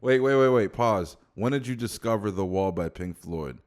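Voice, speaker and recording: A man in his late twenties hosting a casual podcast, eager and quick to jump in with questions and reactions. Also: on the low side, expressive, a little mellow. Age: late 20s. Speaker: podcast host.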